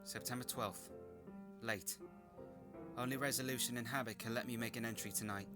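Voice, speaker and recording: Radio drama actor as sombre Victorian doctor, mid-pitched, measured, earnest, under loud music. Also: male voice, steady tone, close to an everyday voice. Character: earnest, grieving Victorian doctor narrating his diary. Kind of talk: radio drama diary narration and acting.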